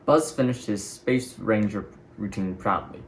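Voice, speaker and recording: A man's voice: lowish voice, a little slow, slight background noise. A man reading a children's story as a scripted narration. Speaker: storybook reader.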